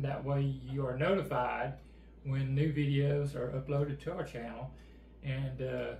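A middle-aged man talks in a relaxed, plainspoken drawl, his voice rough with gravel. He sits at a table in an echoey room, with a faint hum of noise behind him. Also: mid-range voice. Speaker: co-host. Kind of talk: casual channel chat. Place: indoor room with game table and backdrop.

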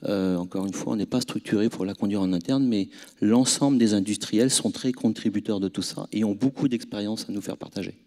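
A man's voice: on the low side, fairly quick, warm tone. A man on a convention panel speaking earnestly and a little hesitantly into a handheld mic. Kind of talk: panel discussion.

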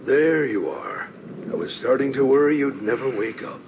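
An actor playing a middle-aged frontier priest in a game cutscene, fatherly and wry, with measured folksy speech. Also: mid-range voice, noisy background, close to an everyday voice. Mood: gently wry. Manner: measured, folksy, gently preachy. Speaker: reverend. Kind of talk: video game cutscene acting.

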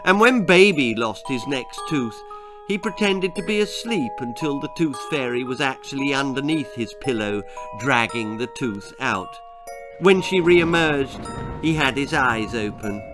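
Slow, dramatic older storyteller. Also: male voice, medium pitch, animated, over music. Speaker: author reading his own stories. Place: small book-lined room at home.

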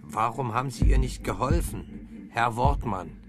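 An actor playing a probing, matter-of-fact police detective in a radio crime drama. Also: male voice, mid-range voice, unhurried, over music, lightly acted. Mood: pressing.

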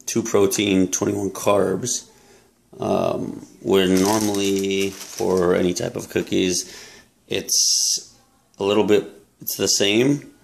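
A young man talking casually to the camera about his grocery haul, relaxed and halting, with many ums and uhs, in a small indoor room. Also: fairly low pitch, gravelly, slight background noise. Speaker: fitness vlogger. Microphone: camera close up at arm's length.

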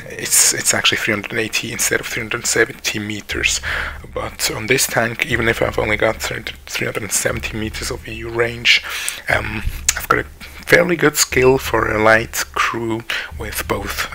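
A man's voice: lowish voice, steady tone, normal speed, gravelly, sharp tone. Hesitant Swiss man over game noise. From Switzerland. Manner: hesitant, many ums and restarts. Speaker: gaming channel host. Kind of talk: gameplay commentary. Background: tank game sounds.

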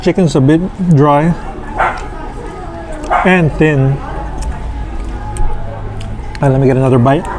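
A man in his thirties reviewing restaurant food in a casual, halting way, full of fillers, on an outdoor patio with a camera on the table. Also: fairly high pitch, music in the background, poor audio. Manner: slow, relaxed, halting with many fillers. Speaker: food vlogger. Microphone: camera on table across from him.

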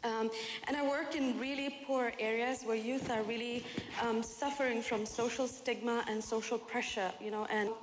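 High-voiced woman panelist in echoey hall. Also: steady tone, normal speed. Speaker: panelist, youth worker. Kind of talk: live panel talk. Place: auditorium stage. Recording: echoey hall, faint noise.

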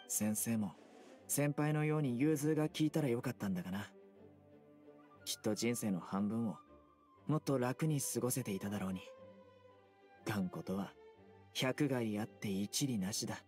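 A man voicing a stern, serious young desert-born enforcer in an anime game, speaking formally and bluntly in a deadpan. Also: medium pitch, normal speed, long pauses, lightly acted. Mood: solemn. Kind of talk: Japanese anime game voice acting. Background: music.

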